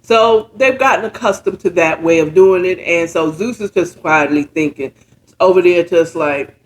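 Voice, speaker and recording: A woman informally retelling a story in a halting, conversational way, often checking in with her listeners. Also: fairly low pitch. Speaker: storyteller retelling a book chapter.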